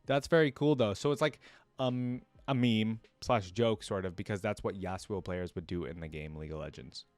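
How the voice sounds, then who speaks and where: Male reaction creator, lowish pitch, informal, thinks out loud between passages he reads. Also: normal speed, smooth, no echo, soft background music. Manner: chatty, thinking aloud. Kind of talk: casual commentary, reading wiki text aloud.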